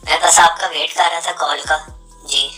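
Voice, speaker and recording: A man plays a casual caller whose voice is artificially raised by a voice changer. The tone is flat and bright, with short small-talk phrases over music. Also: moderate pace. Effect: pitch-raised voice changer.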